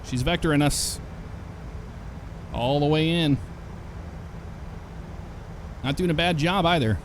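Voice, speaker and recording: A man's voice: fairly high pitch, slow, a little hiss or hum. A man hosting a flight sim channel, chatting casually through his flight and reading back radio calls to the controller. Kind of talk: casual gameplay commentary.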